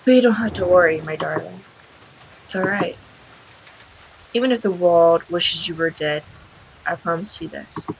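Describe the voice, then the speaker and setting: A woman voicing a cruel, possessive mother from a visual novel in a quiet, taunting, smothering way. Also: fairly low pitch, moderate pace, gravelly, long pauses, slight background noise, poor audio. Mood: soothing, possessive. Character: cruel, possessive mother scolding and doting on her daughter.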